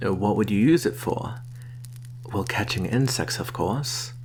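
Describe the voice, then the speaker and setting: Slow, low-voiced sly vampire merchant, played by a man. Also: animated, a little hiss or hum. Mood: coaxing. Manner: soft-spoken, coaxing, deliberate with sighs and soft chuckles. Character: sly male vampire posing as traveling merchant. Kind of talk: ASMR roleplay.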